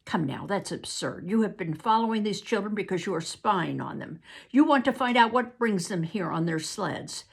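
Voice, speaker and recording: Lady doing a stern sharp uncle giving orders, gravelly husky voice. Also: medium pitch, expressive, moderate pace. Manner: sharp, commanding. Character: stern older uncle, boat captain.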